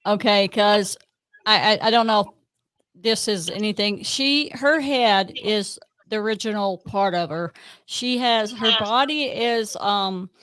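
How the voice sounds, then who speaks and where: A woman's voice: mid-range voice, fairly bright tone, no echo. Eager rambling woman, close and dry. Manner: rambling, self-interrupting, eager. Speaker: paranormal investigator, host. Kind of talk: casual conversation.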